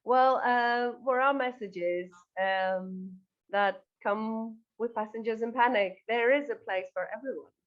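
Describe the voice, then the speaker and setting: A woman's voice: a little high, measured pace, highs cut off. A woman from northern Greece, answering interview questions earnestly and hesitantly, repeating words as she goes. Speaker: interview guest, band singer and lyricist.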